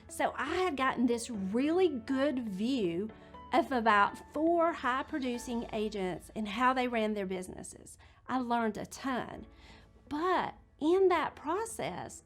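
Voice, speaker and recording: A woman in her late forties, a real estate agent, telling her story in a chatty, candid way. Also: a little high. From Georgia, USA. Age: 40s. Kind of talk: casual talk to camera.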